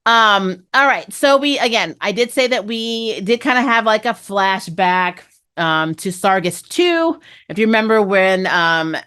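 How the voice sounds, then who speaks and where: A woman with a mid-pitched voice talks like a devoted fan hosting her own review channel. Her delivery is casual and opinionated, full of slang and gushing enthusiasm, as she recaps in a loose, chatty way. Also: lively intonation, a little slow, a bit bright, clear, resonant tone.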